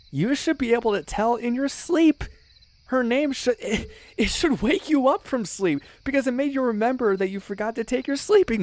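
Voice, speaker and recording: A man playing an excitable, sentimental best friend in a casual ASMR roleplay, speaking effusively with mock-serious exaggeration. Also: high-pitched, no room sound. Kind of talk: ASMR roleplay acting, casual one-sided chat.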